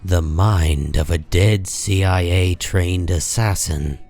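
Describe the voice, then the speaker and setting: A man narrating a comic book drama from a script in a grave, deliberate manner. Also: low-pitched, a little mellow, soft background music.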